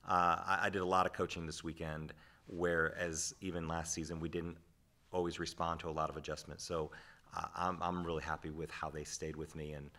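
A man in his forties, a head volleyball coach, answering reporters' questions in a postgame press conference at a desk mic, measured and reflective with frequent restarts. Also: sits low, level tone, bright, forceful tone. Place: press room with sponsor backdrop. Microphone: desk microphone.

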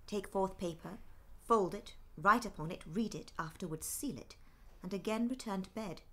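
A woman as husky, watchful gentlewoman attendant. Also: medium pitch. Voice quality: hushed, breathy. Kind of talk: classical Shakespearean radio drama acting.

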